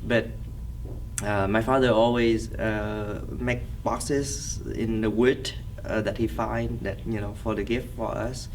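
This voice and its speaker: A man's voice: fairly low pitch, steady tone, darkish tone. A man in his thirties from Vietnam, a native Vietnamese speaker with a Vietnamese accent, answering interview questions in a hesitant way, searching for words. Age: 30s. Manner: hesitant, halting, frequent pauses searching for words. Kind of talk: oral history interview, recollection. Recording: somewhat distant mic, faint background noise.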